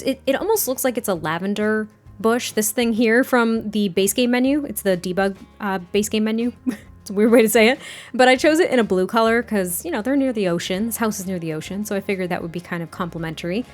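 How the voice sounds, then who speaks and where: Slightly raspy woman chatting over soft music. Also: medium pitch. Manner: chatty, rambling, frequent fillers and laughs. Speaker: game builder narrating a speed build. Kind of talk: casual voice-over commentary. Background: soft background music.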